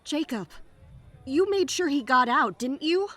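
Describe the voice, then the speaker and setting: An actress playing a fierce, commanding captain who is wounded and in pain, in a fantasy audio drama. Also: female voice, high-pitched, animated, unhurried, sharp tone, full character voice. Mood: pained, demanding.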